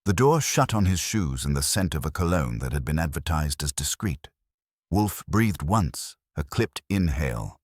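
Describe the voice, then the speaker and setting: Guy reading a detective story, super deep husky voice, formal, really dry close sound. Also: sing-song, moderate pace, no room sound. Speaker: audiobook narrator. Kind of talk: scripted detective story narration.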